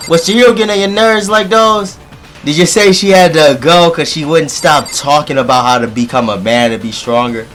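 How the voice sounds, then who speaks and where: A man with a deep voice doing animated, joking let's play commentary and talking straight to his audience. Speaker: gaming YouTuber.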